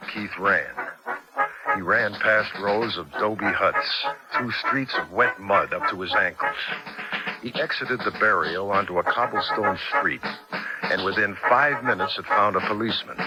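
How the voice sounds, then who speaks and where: An American man with a fairly low voice narrates a radio drama as its host. It is a vintage broadcast with loud music around the scripted delivery. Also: measured pace, rough recording.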